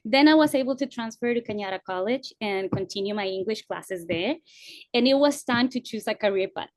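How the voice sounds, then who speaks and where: A woman's voice: medium pitch, brisk, no echo. A woman telling her own study story in an earnest, reflective way. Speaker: former student guest. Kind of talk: personal story on a video call.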